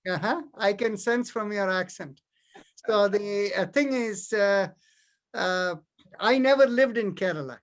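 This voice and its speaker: A man's voice: high-pitched, measured pace. Guy teaching yoga on a video call, lots of uh and like, stops and restarts, just chatting. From India. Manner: hesitant, full of fillers and restarts. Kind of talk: casual Q&A on an online class.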